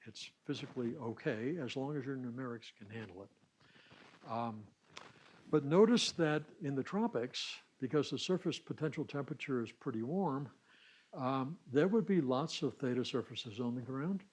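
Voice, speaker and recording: Man lecturing, measured and explanatory. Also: medium pitch, expressive, darkish tone, breathy edge, low-quality recording. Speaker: lecturer at a science summer school. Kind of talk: technical lecture, explaining slides aloud.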